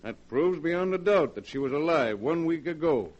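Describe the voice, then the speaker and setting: An actor in a 1940s radio drama playing a shrewd county coroner with a formal, deliberate American delivery. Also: male voice, a little high, expressive, darkish tone, dull audio. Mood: accusing, grave.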